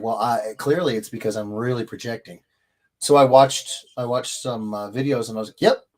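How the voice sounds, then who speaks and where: A man's voice: mid-range voice, darkish tone. Chatty man hosting a livestream. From American Midwest. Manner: rambling, self-interrupting, chatty. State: recovering hoarse throat. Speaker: livestream host. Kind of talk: casual livestream chat.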